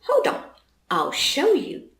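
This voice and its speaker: A proud, proper gentleman goose, as a woman storyteller plays him, unhurried and formal. He delivers lines like airline announcements in a children's tale, with a roomy echo. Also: high voice, wildly swooping pitch, pitched above the performer's own voice, heavily acted. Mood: reassuring. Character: dignified, self-assured male goose in glasses, serving as transport.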